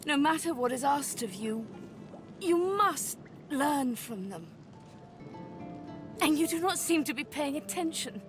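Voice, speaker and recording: An actress playing a world-weary, sharp-tongued sorceress mentor in a fantasy video game, with a sardonic, impatient and dramatic manner. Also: female voice, high voice, expressive, measured pace, pauses often. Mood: exasperated, urgent. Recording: music behind the voice. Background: music.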